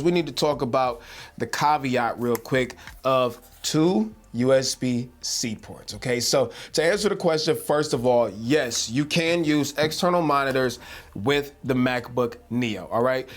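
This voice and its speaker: A man hosting an unscripted tech vlog, talking casually and energetically with slangy asides, his voice slightly hoarse from yelling. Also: medium pitch. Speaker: tech video host. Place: home desk setup indoors.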